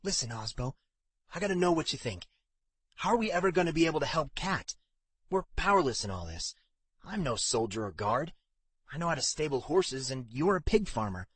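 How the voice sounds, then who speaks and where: Man doing a higher-voiced cheeky young stable lad. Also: very animated, fairly quick, a bit bright, husky, dry recording, exaggerated voice. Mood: worried. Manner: casual, colloquial. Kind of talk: audiobook character dialogue.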